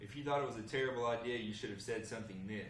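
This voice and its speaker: A man's voice: medium pitch, racing, close to an everyday voice. A man reading the part of a confident, defensive husband who is joining a gang, in a drama script table read. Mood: defensive, insistent. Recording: echoey room, distant mic, faint noise.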